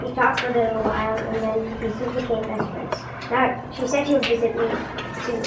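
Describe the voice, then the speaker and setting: A woman's voice: a little high, little pitch movement, normal speed, natural voice. A female amateur performer playing a friendly, matter-of-fact herder boy in a readers theatre play. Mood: chatty. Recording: echoey room from far, clear noise.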